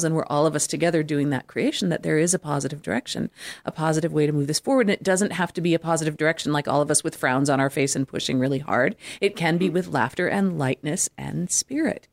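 Female show host, lower register, conversational delivery, very dry recording with no room sound. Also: fast, no room sound. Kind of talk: conversational interview hosting.

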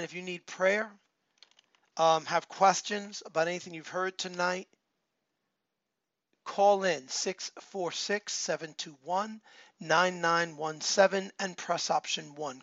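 An earnest man pastor, speaking a little high, leans on repeated phrases and frequent ums. His voice is still rough from a recent cold. The recording is dry and somewhat muffled. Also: a bit bright, no room sound. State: recovering from a cold, voice not fully back. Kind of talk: online church service, announcements and appeals.

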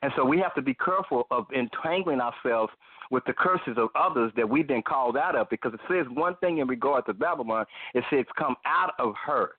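A man giving measured, lecturing commentary drawn from scripture, repeating his points. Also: medium pitch, animated, breathy edge, no echo, phone-quality sound.

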